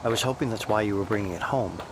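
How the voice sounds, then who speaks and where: A man's voice: fairly low pitch, fast talker, background noise, close to an everyday voice. A man playing a conscientious, guarded male researcher who sounds earnest and worried, in an audio drama.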